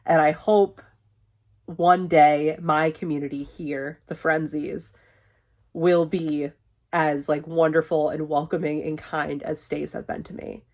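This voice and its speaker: Young woman, vlogger, rambling casual chat, sniffles, a little hoarse, bedroom. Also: low-pitched, level tone, slow, a little mellow. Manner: rambling, lots of likes and swearing. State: sniffly, losing her voice. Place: bedroom, window open.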